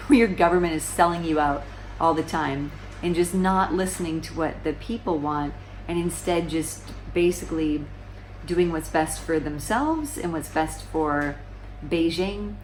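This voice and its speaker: A woman's voice: low voice, moderate pace, slight background noise, poor audio. A woman in her forties explaining current events to camera in a casual, conversational way, often restarting sentences and asking rhetorical questions. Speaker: vlogger. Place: small room indoors, seated on a sofa.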